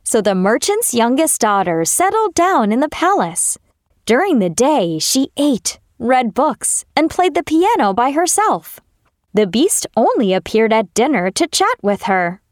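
A woman tells a children's fairy tale in a fairly high voice. She reads slowly and expressively, with lively intonation. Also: no room sound, clean studio sound. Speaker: storyteller.

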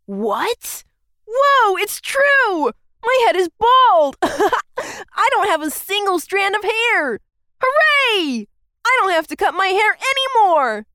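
A woman's voice: very high-pitched, very animated, slow, sharp tone, dry recording, clean studio sound, exaggerated voice. A woman voicing a playful, childlike balloon boy who loves adventure, with bubbly exclamations, in a children's audio storybook. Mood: excited. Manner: exclamatory, bubbly, sighing and giggling.

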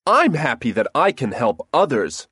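A woman's voice: big pitch swings, moderate pace, husky, dry recording, clean studio sound, exaggerated voice. A woman voicing a kind, generous farmer who reassures those around him. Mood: reassuring. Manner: gentle, reassuring, lowered voice. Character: kind, generous middle-aged farmer man.

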